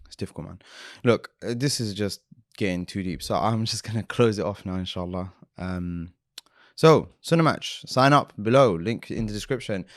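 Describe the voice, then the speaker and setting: A man's voice: lowish voice, moderate pace, no echo, studio quality. A man co-hosting a podcast, talking earnestly in casual chat with lots of fillers and restarts. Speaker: podcast co-host.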